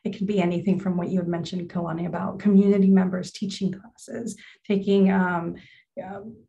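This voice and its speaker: Young woman running a panel on a video call, kinda low flat voice, fry, lots of stuttered restarts. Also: normal speed, highs cut off. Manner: stammering, repeats words. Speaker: moderator. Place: home room.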